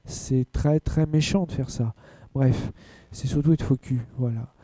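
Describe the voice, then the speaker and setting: A man's voice: medium pitch, steady tone, measured pace, dark tone, dry recording. A man hosting an anime and manga podcast in a casual, blunt way, rambling through news with lots of filler words. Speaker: podcast host. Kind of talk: casual news rundown and rant.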